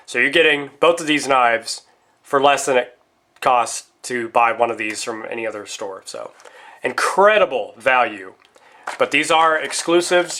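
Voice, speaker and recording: Bright-voiced man, stuffy from a cold. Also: mid-range voice. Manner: rambling, talks straight to viewers as guys. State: stuffy nose, slight lingering cough after a cold. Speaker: vlogger reviewing pocket knives. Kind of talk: casual chatty product vlog.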